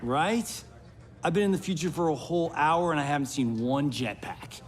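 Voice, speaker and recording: An actor with an American accent playing a brash, flippant, wisecracking young movie star in a video game. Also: male voice, on the high side, moderate pace, lightly acted. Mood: exasperated, bewildered. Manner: exasperated, flippant, theatrical.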